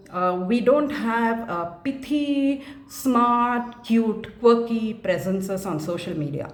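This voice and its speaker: Frank woman in her forties, echoey room. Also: mid-range voice. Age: 40s. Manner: thoughtful, frank, many "uh" and "um" pauses. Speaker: mental health advocate. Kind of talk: reflective video monologue.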